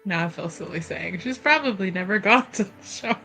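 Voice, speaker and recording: A woman's voice: medium pitch, animated, fairly quick, pushed and bright. A woman in casual table talk as a player in a tabletop roleplaying game. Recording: faint music behind.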